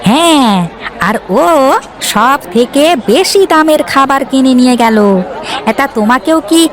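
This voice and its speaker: A man's voice: very high voice, measured pace, a bit bright, some chatter behind. A man voicing a jovial, teasing village shopkeeper in a cartoon. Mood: chatty. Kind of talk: cartoon voice acting.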